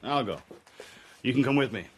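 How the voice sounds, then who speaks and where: A man's voice: mid-range voice, lively intonation, normal speed, close to an everyday voice. Dry, sardonic spy, played by a man. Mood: wry. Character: sardonic working-class British male spy, insubordinate and wry. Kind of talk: radio drama acting with first-person narration. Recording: broadcast radio drama with faint noise.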